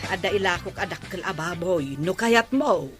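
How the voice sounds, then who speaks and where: A woman's voice: on the low side, lively intonation, moderate pace, lightly acted. A woman acting a chatty, haggling village pig seller in an Ilocano comedy radio drama, with an Ilocano accent. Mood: coaxing. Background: music.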